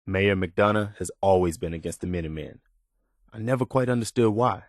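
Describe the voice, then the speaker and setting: Guy playing earnest militia soldier in a game, lowish voice, plainspoken n loyal, close dry sound. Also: brisk, no echo, lightly acted. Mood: wary, resolute. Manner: sincere, plainspoken, conversational. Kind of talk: video game companion dialogue.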